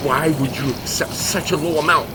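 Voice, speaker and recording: A man's voice: a little high, steady tone, brisk, noisy background, natural voice. A man playing an exasperated, sarcastic, foul-mouthed monster hunter in a comedy audio drama. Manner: indignant, sarcastic.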